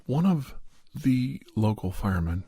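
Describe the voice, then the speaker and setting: A man narrating a first-person horror story in an ASMR style, softly and in halting, unhurried short phrases with casual asides. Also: medium pitch, big pitch swings, breathy edge. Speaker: podcast host and storyteller.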